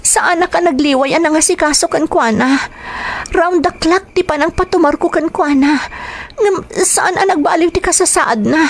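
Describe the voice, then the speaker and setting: A woman's voice: very high voice, moderate pace. A woman playing an anxious, devoted young mother in an audio drama, with a tender, emotional, pleading delivery. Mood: anxious, urgent.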